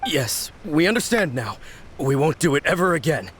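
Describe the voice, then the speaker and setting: A man's voice: on the high side, very animated, normal speed, fairly bright tone, a little hiss or hum. Shaken, timid young swordsman. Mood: contrite. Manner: earnest, fretful. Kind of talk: video game voice acting.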